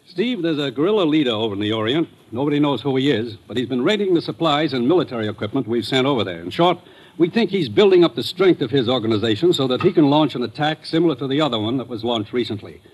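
A man playing a brisk, authoritative commissioner in an old radio drama, giving orders in a businesslike way. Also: medium pitch, animated, darkish tone, slightly rough, poor audio. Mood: serious, urgent. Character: brisk, businesslike male commissioner briefing an agent. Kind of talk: 1950s radio drama acting.

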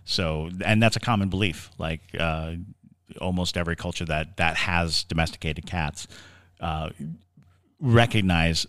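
A man co-hosting a podcast, explaining lore in a halting, conversational way and repeating words as he forms thoughts. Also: low voice, fairly bright tone, clean tone, dry recording, clean studio sound. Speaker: podcast co-host.

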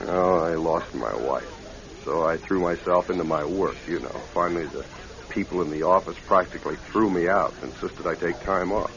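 An earnest widower speaks in a deep voice with a gravelly creak. He is a quietly intense suitor in an old radio drama, and the sound is dull with age. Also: moderate pace. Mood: sad, confiding. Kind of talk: classic radio drama acting.

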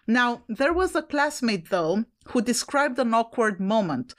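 A woman with an Italian accent co-hosts a podcast in a casual, chatty way. Her voice sits fairly high, and she laughs easily and swears offhandedly as she talks. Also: animated, a little slow. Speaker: podcast co-host.

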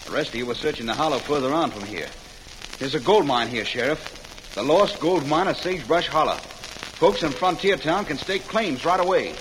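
A man's voice: fairly high pitch, expressive, moderate pace, lightly acted. An actor playing an earnest frontier townsman in an old-time radio Western, explaining things plainly. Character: earnest male frontier townsman and posse rider. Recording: noisy, crackling old radio recording.

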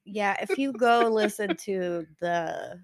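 A woman's voice: medium pitch, slow pace. A young woman co-hosting a casual podcast, who cuts in with questions. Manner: questioning, interjects. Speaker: podcast co-host. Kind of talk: casual chat.

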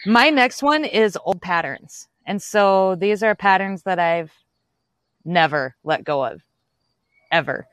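A woman's voice: mid-range voice, expressive, slow pace, fairly bright tone, smooth, dry recording. A young woman hosting a casual livestream, chatting loosely and rambling through a small ritual. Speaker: livestream host.

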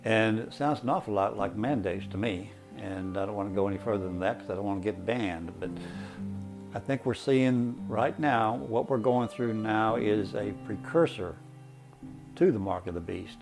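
A man hosts a podcast in a fairly low voice. He introduces the material in a conversational, explanatory way. Faint music plays behind him. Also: moderate pace, creaky. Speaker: podcast host.

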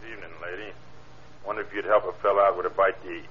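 An actor playing a polite, folksy drifter begging for food in an old-time radio crime drama. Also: male voice, deep voice, flat delivery, racing, close to an everyday voice. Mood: polite, wary. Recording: muffled, noisy old radio recording.

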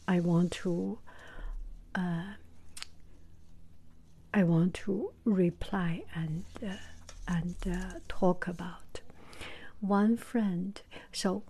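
A woman whispers softly to her viewers as the host of her own channel. Her voice is airy and breathy, and she takes her time over each phrase. She pauses often and hesitates as she talks. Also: medium pitch. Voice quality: soft whisper. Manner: gentle, hesitant, many pauses and fillers. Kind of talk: whispered vlog talk to viewers.

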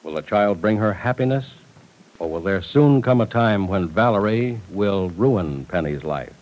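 A man's voice: fairly low pitch, animated, unhurried, a little mellow, low-quality recording. An American man announcing in 1940s radio style, with a polished, emphatic scripted delivery.

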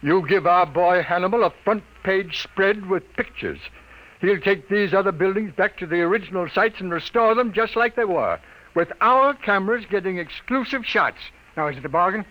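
A man playing a brash, wisecracking newspaper editor in a 1950s radio drama, brisk, cocky and commanding. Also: high-pitched, animated, pushed and bright, poor audio. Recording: old radio broadcast recording.

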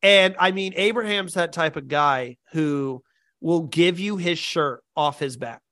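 A man's voice: a little high, a little slow, fairly bright tone, dry recording, studio quality. A man hosting a podcast, chatting casually in an upbeat way and laughing often. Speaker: podcast host. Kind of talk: casual chat.

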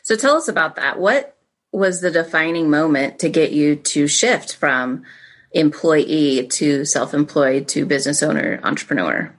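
A woman with a very low voice hosts an interview. She asks the guest questions in an encouraging way and keeps him going with short affirmations. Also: animated, measured pace. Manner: encouraging, frequent brief affirmations. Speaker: podcast host.

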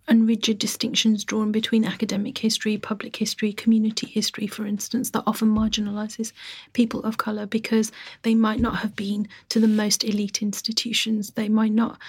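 A woman historian giving measured, emphatic answers in an interview. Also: a little high, level tone, husky. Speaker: historian, guest.

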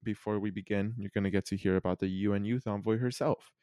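A man's voice: lowish voice, fairly even intonation, fast, warm tone, no room sound, studio quality. A teenage boy hosting a podcast interview, friendly and loose, with many fillers. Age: teens. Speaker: podcast host.

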